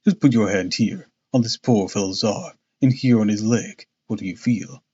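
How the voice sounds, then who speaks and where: A man voicing a cool, analytical gentleman detective who speaks quietly and dryly, lecturing. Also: fairly low pitch, normal speed, husky, highs cut off.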